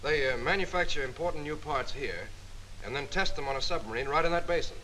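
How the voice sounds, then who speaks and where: An actor playing a skeptical, probing intelligence officer in a 1940s training film, questioning dryly. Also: male voice, on the high side, fast, sharp tone, low-quality recording. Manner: dry, questioning, slightly wry. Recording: 1940s film soundtrack with faint hiss.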